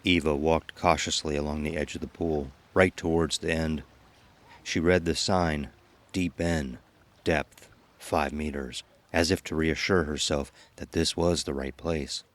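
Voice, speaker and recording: A man's voice: extremely low pitch, fairly even intonation, a little slow. A man giving scripted narration of a horror story as its narrator. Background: music.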